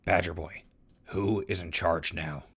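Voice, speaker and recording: An actor in an audio drama playing a gruff, exasperated and weary wasteland survivor with a raspy voice. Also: male voice, deep voice, fairly even intonation, measured pace, a bit bright, heavily acted. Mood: baffled.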